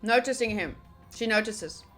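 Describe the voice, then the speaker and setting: A woman streaming a visual novel playthrough, reading the text aloud with playful, expressive, casual reactions and some swearing. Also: fairly high pitch, normal speed, faint music behind, low-quality recording. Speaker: game streamer.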